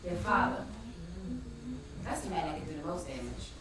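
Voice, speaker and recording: A woman's voice: low-pitched, very animated, moderate pace. An actress in a stage play playing an earnest woman friend with an old family hurt, speaking in a reflective, conversational way. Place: large theatre stage, recorded from far off. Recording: distant, very echoey, noisy stage recording.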